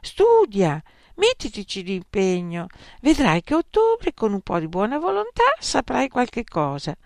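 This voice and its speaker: A fretful mother coaxes her son to study, voice lifted and lively, as a storyteller puts her on. The sound is dry and close. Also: mid-range voice, unhurried, gravelly, no echo, voice pushed up, exaggerated voice. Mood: coaxing. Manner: coaxing. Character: pleading, coaxing mother.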